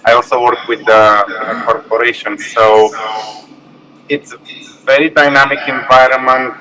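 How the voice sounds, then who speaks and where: A man's voice: mid-range voice, little pitch movement, unhurried, sharp tone, poor audio. A man from Romania speaking as a remote expert panelist at a conference, talking loosely with many fillers, heard over call audio.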